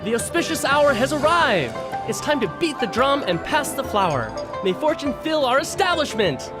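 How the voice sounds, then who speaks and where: A man's voice: very high voice, sing-song, moderate pace. A man playing a festive master of ceremonies, proclaiming New Year fortune in a scripted audio drama. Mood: festive, ceremonial. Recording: clear music behind the voice.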